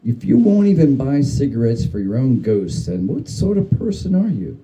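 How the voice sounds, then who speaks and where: A man voicing a gloomy, reproachful, needy ghost in a dramatic story reading, complaining in a grim, forlorn, drawn-out way. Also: medium pitch, sing-song, dark tone, lightly acted, poor audio. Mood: reproachful, insistent. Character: gloomy, reproachful, needy male ghost who nags the living.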